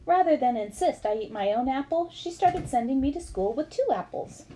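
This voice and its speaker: Mellow-voiced woman reading a book aloud. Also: high voice, expressive, moderate pace, a little hiss or hum, low-quality recording. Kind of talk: scripted audiobook-style narration of a memoir.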